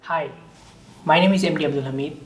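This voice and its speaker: A twenty-one-year-old male student from Bahrain, introducing himself in a brisk, direct way. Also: on the high side. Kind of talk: interview introduction. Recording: echoey room with ambient noise.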